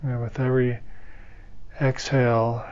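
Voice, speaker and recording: Male meditation teacher, calm and instructive, level monotone delivery, muffled lo-fi sound. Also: mid-range voice. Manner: slow, soothing, gently instructive. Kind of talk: guided meditation.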